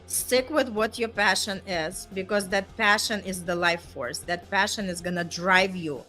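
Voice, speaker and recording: A woman's voice: medium pitch, a little slow, bright, forceful tone, soft background music. A woman in casual conversation, speaking earnestly and encouragingly, often checking in with "right?". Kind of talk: casual motivational chat.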